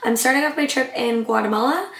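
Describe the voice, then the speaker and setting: Young woman travel vlogger, slightly hoarse, enthusiastic and chatty, echoey room. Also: a little high, fast talker, a bit bright. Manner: enthusiastic, chatty, showing items. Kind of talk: casual upbeat vlog talk to camera.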